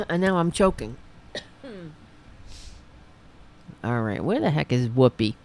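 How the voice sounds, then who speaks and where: A woman hosting a political talk livestream, hoarse after a long show, rambling and sarcastic as she talks to her chat. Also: low voice, big pitch swings, takes it slowly, darkish tone, a little hiss or hum. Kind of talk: casual livestream commentary.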